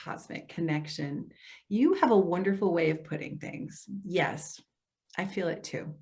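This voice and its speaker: A woman's voice: lowish voice, lively intonation, measured pace, darkish tone. A woman playing an earnest, apologetic social worker in readers theater, speaking with careful, placating hesitation. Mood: agreeable.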